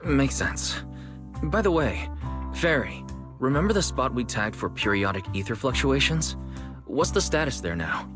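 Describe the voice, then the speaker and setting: A man's voice: mid-range voice, big pitch swings, measured pace, music in the background. An actor playing an inquisitive, considerate, easygoing young male investigator in conversational video game dialogue. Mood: curious.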